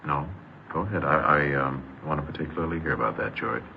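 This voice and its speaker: Very deep-voiced, patient interviewer. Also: male voice, fast talker, creaky, loud music behind the voice. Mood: calm, probing. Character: calm, patient male interviewer drawing out a boy. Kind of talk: old radio crime drama acting. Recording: muffled, very noisy old radio recording.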